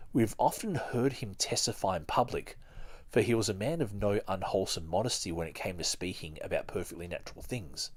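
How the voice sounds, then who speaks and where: Man, deep voice, podcast host, informal, spoken reading and commentary. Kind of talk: reading a book aloud with casual commentary.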